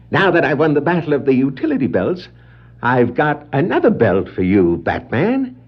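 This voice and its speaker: Cackling clown supervillain, wildly swooping voice. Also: male voice, a little high, huge pitch swings, husky, exaggerated voice. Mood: gloating. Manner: gloating, exclamatory, drawn-out delivery. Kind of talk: 1960s children's record radio-play acting. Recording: dull, noisy 1960s vinyl record dramatization.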